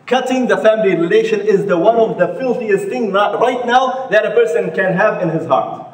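A man in his thirties giving a sermon from a mosque pulpit with a steady, emphatic delivery in English as a second language and repeated rhetorical questions. He speaks into a stand mic at the pulpit. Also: high-pitched, normal speed. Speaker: imam giving the Eid sermon. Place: mosque prayer hall with seated congregation. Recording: echoey mosque hall, voice heard from far off.

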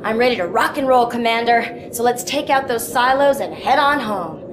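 Brash, lively commando woman played by an actress. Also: fairly high pitch, moderate pace, noisy background, lightly acted. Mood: determined, wry. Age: young adult.

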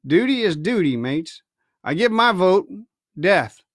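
A man's voice: high-pitched, sing-song, unhurried, fairly bright tone, dry recording, clean studio sound, full character voice. A man reading a storybook, voicing a sly, domineering pirate ship's cook with hectoring, colloquial sailor speech. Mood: cold, menacing.

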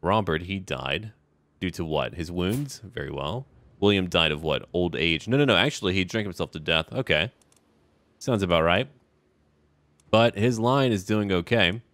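A man's voice: sits low, animated, moderate pace, fairly bright tone, no echo. A man narrating a strategy game in character, musing aloud theatrically in short, clipped phrases over music. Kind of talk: in-character roleplay game commentary.

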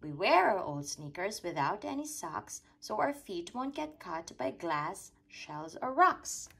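A woman reading a rhyming children's picture book aloud in an expressive, sing-song storytelling way. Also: fairly low pitch, unhurried, creaky, husky. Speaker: storytime reader.

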